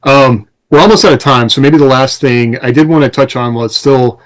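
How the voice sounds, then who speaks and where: A man's voice: medium pitch, a little fast, low-quality recording. A man co-hosting a podcast, explaining things informally in a casual chat. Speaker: podcast co-host.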